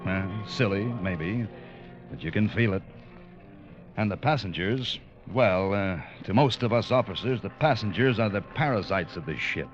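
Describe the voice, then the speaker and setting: A reserved, formal ship's first officer, voiced by a man who narrates a vintage radio drama. His voice is fairly low, his speech terse and correct. Music plays clearly behind him in a dull, lo-fi broadcast sound. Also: animated, moderate pace, natural voice. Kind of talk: 1940s radio acting and narration.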